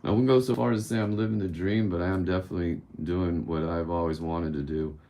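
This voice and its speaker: Deep-voiced blunt man around fifty. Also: steady tone, quick pace, dark tone. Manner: candid and blunt, rambling, swears casually. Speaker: livestream host answering viewer questions. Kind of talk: casual live-stream Q&A.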